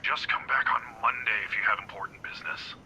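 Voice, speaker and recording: An actor playing a friendly, forgetful older security guard who chats cheerfully and fumbles names in a voiced visual novel. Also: male voice, mid-range voice, steady tone, quick pace, background noise, lightly acted. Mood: questioning. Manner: chatty, jovial, fumbles names.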